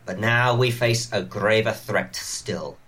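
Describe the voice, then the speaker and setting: A man playing a stately elf lord, addressing a council formally and gravely in an amateur comic pantomime. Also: lowish voice, slow pace, fairly bright tone. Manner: formal, oratorical.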